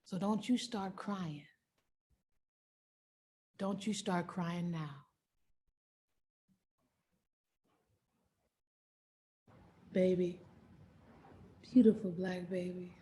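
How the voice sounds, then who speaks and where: A woman playing an anguished, fiercely loving enslaved mother in a dramatic stage monologue, speaking emphatically with heavy pauses. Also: mid-range voice, fairly even intonation, a little mellow, close to an everyday voice. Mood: tender, grieving. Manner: slow, emphatic, repetitive delivery with dramatic pauses.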